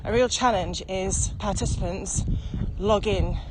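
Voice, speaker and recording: A woman expert in virtual training explaining her work enthusiastically, speeding up when excited, with many ums. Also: mid-range voice, a little slow. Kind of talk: casual explanatory chat. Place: outdoors by a road. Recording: noisy outdoor recording with traffic.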